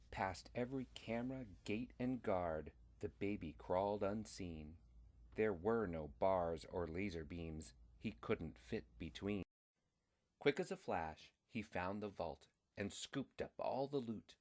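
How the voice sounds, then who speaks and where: A man reading a children's story aloud in a deliberate, expressive way. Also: fairly low pitch.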